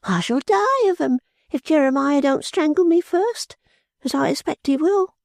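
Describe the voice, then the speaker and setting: Guy putting on a scared rustic servant lady, squeaky and high, pleading, dry close sound. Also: animated, moderate pace, vocal fry, dry recording, heavily acted. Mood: fearful. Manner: rustic ungrammatical speech, pleading. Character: frightened servant woman, timid, superstitious. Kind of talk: audiobook character voice.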